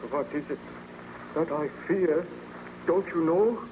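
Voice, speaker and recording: A man's voice: fairly high pitch, slow. An actor playing a haunted, fearful young nobleman in an old-time radio drama, speaking in a hesitant, melodramatic, evasive way. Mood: anxious, urgent. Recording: noisy, muffled old-time radio recording.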